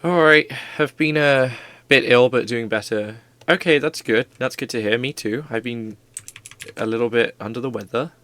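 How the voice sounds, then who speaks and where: A man's voice: mid-range voice, moderate pace, fairly bright tone, no room sound. A man streaming live, chatting casually and rambling playfully with his viewers while drawing.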